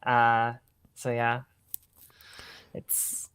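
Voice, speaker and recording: A woman's voice: extremely low pitch, completely flat delivery, very slow, fairly bright tone, clear, resonant tone. A woman from southern Scotland with an American-influenced Scottish accent, chatting casually and tentatively as a guest. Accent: mixed American-influenced Scottish. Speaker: podcast guest. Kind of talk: casual chat.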